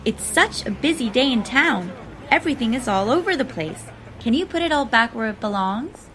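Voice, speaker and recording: Lively, high-pitched woman reading a children's storybook. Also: moderate pace, a little hiss or hum, low-quality recording. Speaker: storybook narrator. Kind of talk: children's read-along narration. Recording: music behind the voice. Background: music.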